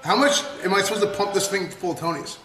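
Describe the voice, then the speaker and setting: A man's voice: high-pitched, quick pace, background noise. A young man from Texas, bantering loosely while cooking with friends in a large home kitchen. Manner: joking, loose, talks over others. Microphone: camera mic across the room. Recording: echoey kitchen with crowd chatter.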